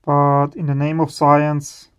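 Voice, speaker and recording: A man hosting an electronics teardown video, slowly and admiringly commenting on the parts he finds. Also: a little high, monotone, fairly bright tone, clear, resonant tone. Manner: slow, admiring, savoring each part.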